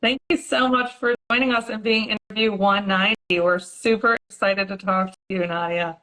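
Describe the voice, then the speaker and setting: A woman hosting an interview series, upbeat and brisk as she asks her guest questions. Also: medium pitch, steady tone, bright, forceful tone, poor audio. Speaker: podcast host.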